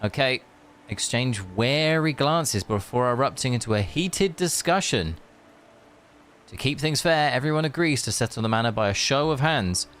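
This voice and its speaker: A man's voice: medium pitch, expressive, normal speed, a bit bright, a little hiss or hum. A man streaming a game, reading story text aloud with sarcastic, joking asides.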